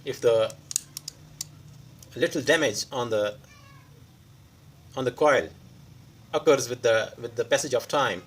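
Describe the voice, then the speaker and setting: A man who is a non-native English speaker gives an unscripted hands-on repair demonstration, pausing mid-sentence in short phrases. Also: mid-range voice, husky. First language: not English. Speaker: electronics repair tutorial host.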